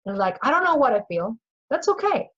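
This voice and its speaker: A woman's voice: sits high, big pitch swings, a little fast. Peruvian-accented woman, earnest, lo-fi sound. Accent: Peruvian Spanish. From Peru. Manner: earnest, repeats phrases, acts out inner voices. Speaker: guest coach. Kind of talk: casual interview chat.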